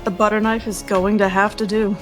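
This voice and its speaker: A woman's voice: medium pitch, level tone, brisk, music in the background. A woman playing a tabletop game, narrating her character's actions with urgency. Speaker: player.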